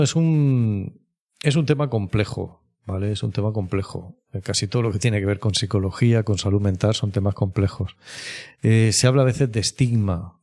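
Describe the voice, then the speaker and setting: A man with a Spanish accent speaks as an expert guest in an interview. His voice is low and very mellow, and he explains things in a measured, reflective way. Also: creaky. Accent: Spain.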